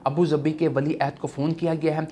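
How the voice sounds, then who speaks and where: A man's voice: a little high, little pitch movement, a little fast, mellow. Pakistani man presenting news over background noise. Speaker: news commentary channel host. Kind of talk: news commentary to viewers. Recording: clear background noise, ambient sound.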